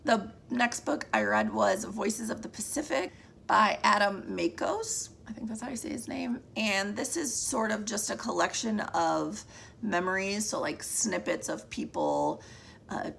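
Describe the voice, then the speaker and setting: A woman with a deep, cracking voice chatting casually about the books she read, often trailing off and restarting. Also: very animated, a little slow, fairly bright tone, slight background noise. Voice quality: deep, gravelly voice. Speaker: book vlogger. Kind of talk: casual monthly reading wrap-up.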